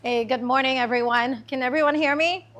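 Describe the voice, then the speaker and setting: A middle-aged woman from Trinidad and Tobago giving a chatty, informal talk about her business to a small group, with many pauses and ums. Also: high-pitched, fairly even intonation, a bit bright. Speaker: small business owner presenting. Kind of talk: informal pitch presentation. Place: meeting room.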